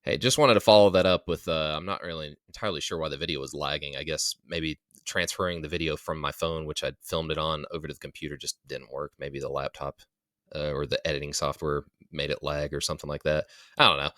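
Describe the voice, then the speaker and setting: Super deep but crisp forceful guy voice, casual unscripted update, dry close sound. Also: fairly even intonation, fast talker, no echo. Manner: informal, unscripted, thinking aloud. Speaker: storytelling channel creator and host. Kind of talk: casual follow-up note to listeners.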